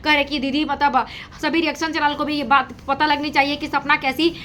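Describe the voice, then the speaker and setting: A woman's voice: sits high, little pitch movement, a little fast, sharp tone, slight background noise. A woman giving casual gossip commentary to her audience, with emphatic, repetitive phrasing. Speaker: reaction video host.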